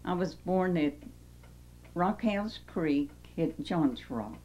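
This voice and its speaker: A woman, gently recalling where her family lived. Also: fairly low pitch, expressive, slow pace, dull audio, slight background noise. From Western North Carolina. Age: 90s. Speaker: interviewee.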